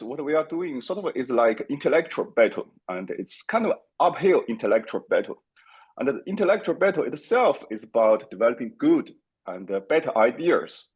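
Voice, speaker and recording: A man in an online workshop making a comment haltingly, full of repeated words and "like, uh". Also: medium pitch, big pitch swings, husky, dull audio. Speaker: workshop participant. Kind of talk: casual discussion comment.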